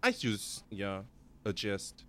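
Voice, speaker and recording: Excited interviewer, lots of wows, pitch jumps all over, non-native english. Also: slow. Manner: excitable, exclaims often, broken English. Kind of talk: casual chat.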